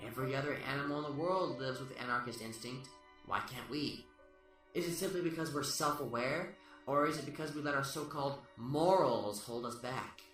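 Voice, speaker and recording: Roomy and set back from the mic, a man acts out a smug, remorseless serial killer in a radio drama. He speechifies and taunts at a middle pitch, with prominent music underneath. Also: moderate pace, fairly bright tone, distant mic, close to an everyday voice. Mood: preachy conviction. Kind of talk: amateur radio play acting.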